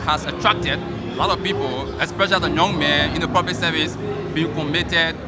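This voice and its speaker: Guy, kinda high voice, earnest, lots of uhs, loud music behind. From Liberia. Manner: earnest, halting. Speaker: government budget officer, nominee. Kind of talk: red carpet interview.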